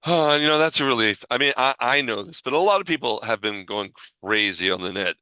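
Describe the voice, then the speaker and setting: A man hosts a casual podcast in a bright, forceful voice. The sound is close and dry. He talks in a reassuring, informal way and tends to repeat himself to make a point. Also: mid-range voice, expressive, a little fast, smooth, dry recording. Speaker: podcast host. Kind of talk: casual podcast chat and sign-off.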